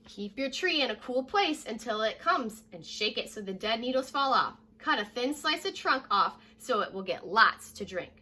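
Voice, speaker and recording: Young woman, American accent, high bright voice, children's read-aloud, teacherly delivery. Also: moderate pace, hardly any pauses. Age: young adult. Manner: animated, addresses young listeners with questions. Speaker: teacher reading a picture book aloud. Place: living room at home, indoors.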